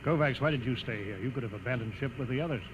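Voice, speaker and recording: Actor as wistful officer, noisy old radio recording. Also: male voice, mid-range voice, racing, natural voice. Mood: resigned, reflective. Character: reflective, idealistic naval officer aboard a doomed underground cruiser. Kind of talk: 1950s radio drama acting.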